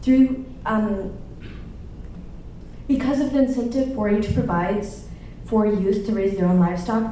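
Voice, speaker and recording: A woman's voice: medium pitch, warm tone, a little hiss or hum. Earnest woman at a lectern, echoey hall. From Chile. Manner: earnest, formal delivery. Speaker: public commenter. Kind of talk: prepared speech read at a public meeting. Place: public meeting room. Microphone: lectern mic, heard from a distance. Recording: echoey meeting room from far off.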